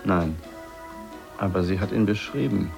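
An actor playing a guarded male informant in a radio drama, gravelly and clipped in his reporting. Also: low voice, unhurried, a little mellow, faint music behind.

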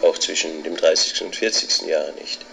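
A man's voice: a bass voice, level tone, brisk, creaky, a bit bright, a little hiss or hum, full character voice, poor audio. A male actor playing a bitter, pedantic middle-aged scholar in a radio drama, circling obsessively through repeated phrases. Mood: brooding, pedantic. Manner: obsessive, repetitive, pedantic monologue. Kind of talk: literary radio drama monologue.

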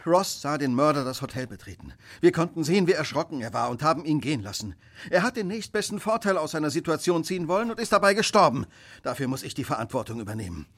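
A man acting in a radio drama as a brilliant, brooding detective, husky, intense and commanding, with clipped deductions. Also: a little high, very animated, fast talker, fairly bright tone, heavily acted. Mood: anguished, self-accusing. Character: brilliant brooding detective, driven by guilt and fierce resolve.

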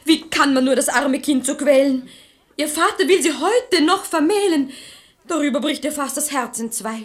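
Casting: female actor for protective maid, high voice, urgent and outspoken verse delivery. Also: moderate pace, close to an everyday voice. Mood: urgent indignation. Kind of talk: classic verse comedy radio play acting.

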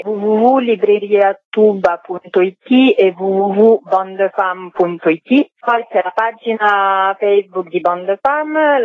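A woman's voice: medium pitch, no echo. A talkative, enthusiastic woman giving spontaneous answers as an interviewed festival organizer, speaking over a telephone. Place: phone call into a radio programme. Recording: muffled narrow-band phone line.

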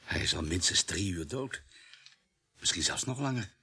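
A man playing an observant police doctor in a radio play, husky-voiced, clinical and understated. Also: low-pitched, animated, moderate pace, sharp tone, exaggerated voice. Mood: clinical, grim. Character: matter-of-fact, observant male police doctor.

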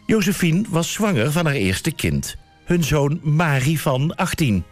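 A man's voice: on the high side, lively intonation, measured pace, faint music behind. A man playing a tongue-in-cheek, mock-solemn storyteller in a comic radio play. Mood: wry. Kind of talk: scripted narration.

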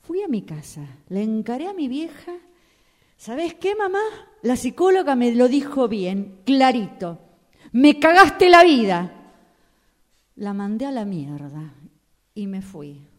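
Actress as slow, dramatic, unlucky Argentine woman. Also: on the high side, very animated. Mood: indignant, self-justifying. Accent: Rioplatense Argentine Spanish. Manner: dramatic, complaining storytelling. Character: desperate, unlucky, self-pitying woman, devoted client of a fortune-teller. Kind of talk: radio drama acting, conversational dialogue.

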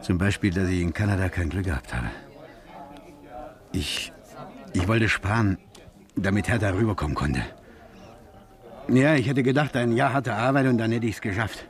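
Ein Schauspieler spielt in einem Krimihörspiel der 1950er einen misstrauischen, von Schuld geplagten Mann, der grüblerisch und stockend spricht. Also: male voice, lowish voice, lively intonation, moderate pace, pauses often, close to an everyday voice. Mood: guilt-ridden, despairing. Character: distraught, suspicious, guilt-ridden man searching for his fiancée. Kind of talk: 1950s German radio crime drama acting.

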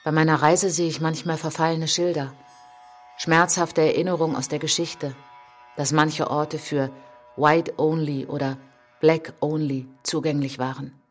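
A woman performing a solemn narrator in a literary radio drama, speaking in measured, dramatic short phrases. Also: sits low, steady tone. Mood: reflective. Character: solemn woman narrator recounting colonial atrocities as a traveller. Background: prominent music behind the voice.

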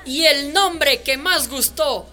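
Upbeat, high-voiced radio presenter. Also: female voice, takes it slowly. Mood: upbeat presenting. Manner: welcoming, announcing, encouraging. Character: upbeat community radio presenter hosting an inauguration. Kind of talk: radio drama acting, event presenting. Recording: music behind the voice.

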